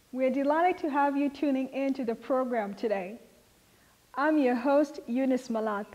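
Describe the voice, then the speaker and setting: A woman hosting a show, giving a measured, welcoming scripted introduction to the program. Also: high voice, darkish tone, in a large hall, muffled recording. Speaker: host.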